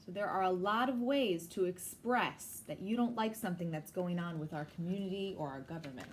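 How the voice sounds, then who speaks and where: A woman's voice: lowish voice, brisk. A woman teacher reading aloud to young children and explaining things simply as she goes. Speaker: teacher reading a picture book to a class. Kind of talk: read-aloud with explanatory asides. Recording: noisy background.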